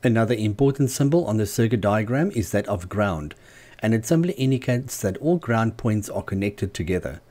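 Male tutorial narrator, lower-pitched and very mellow, measured and explanatory delivery for scripted instruction. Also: animated. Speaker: host of a DIY loudspeaker channel.

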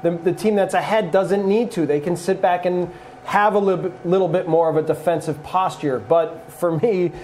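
Ex coach guy breaking down the game, calm, faint hum behind. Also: high-pitched. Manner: measured, draws on coaching experience. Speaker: former coach, analyst. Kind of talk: studio sports analysis. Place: TV studio desk. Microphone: lapel.

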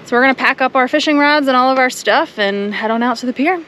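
A woman's voice: high voice, fast talker, a bit bright, a little hiss or hum. Chatty young woman vlogging outdoors. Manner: chatty, upbeat, laughs easily. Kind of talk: casual family camping vlog. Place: outdoors at a beach and park. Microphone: action camera.

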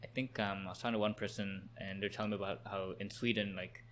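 Man, fairly low voice, chatty podcast host, light hiss behind. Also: fairly even intonation, quick pace, a bit bright. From Canada. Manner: chatty, frequent "like" fillers. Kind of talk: casual interview.

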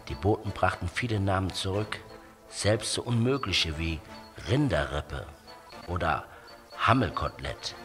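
A man narrating a scripted fairy tale for children as an expressive, dramatic storyteller. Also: low voice, measured pace, faint music behind.

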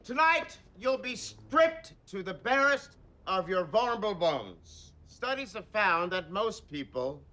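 A theatrical stage host with a high, sharp voice. He announces grandly and slowly, sweeping up and down in pitch. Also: very animated, exaggerated voice. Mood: ominous, dramatic. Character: flamboyant, theatrical male acting academy master of ceremonies. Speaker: show host.

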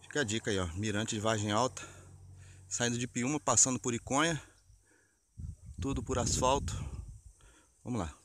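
Brazilian guy doing a casual bike vlog outside, normal pitch, lots of background noise. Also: fairly even intonation, normal speed, pauses often. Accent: Brazilian Portuguese. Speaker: cycling vlogger. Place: outdoors on rural mountain roads. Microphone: handheld camera at arm's length. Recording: clear outdoor noise, phone or camera mic.

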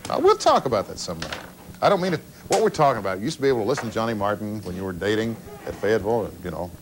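A man's voice: lowish voice, sing-song, rapid-fire. An older man hosting a call-in TV show, chatting casually in a folksy, dryly joking way. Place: small TV studio. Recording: noisy old TV broadcast tape.

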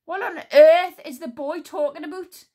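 A woman voicing a furious, imperious queen who shouts in a high, sharp voice in a storybook reading. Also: normal speed. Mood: outraged. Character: furious imperious queen, grief-struck mother. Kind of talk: storybook character voice.

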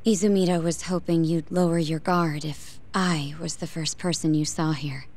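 An actress playing a cool, guarded prosecutor in a video game, with a composed, dry, formal delivery. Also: female voice, low-pitched, steady tone, normal speed, a little mellow, close to an everyday voice. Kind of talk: English game voice acting.

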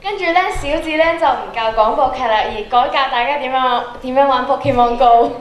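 A woman's voice: high voice, a little fast, muffled recording. A woman with a Hong Kong Cantonese accent improvising a story in a group. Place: large indoor lecture hall. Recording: echoey auditorium, chattering crowd.

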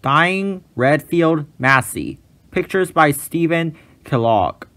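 A man narrating a children's storybook in an expressive, measured read-aloud style. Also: fairly high pitch.

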